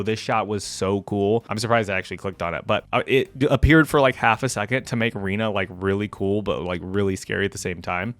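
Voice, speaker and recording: A man's voice: mid-range voice, no echo. A man giving casual, enthusiastic reaction commentary as a video creator, chatty and informal.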